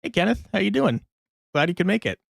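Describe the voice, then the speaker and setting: Male game streamer with lively intonation. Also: high-pitched, fast talker, creaky, dry recording, studio quality. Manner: informal, reads out chat and answers it. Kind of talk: casual live gameplay commentary, chatting with viewers. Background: game music.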